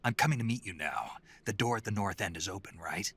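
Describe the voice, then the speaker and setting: An actor playing a gruff, weary soldier on the run in a video game, with a gravelly voice and a wry, terse manner. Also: male voice, lowish voice, lively intonation, quick pace, fairly bright tone, full character voice. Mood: wry, tense. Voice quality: gravelly, husky. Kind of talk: dramatic video game voice acting.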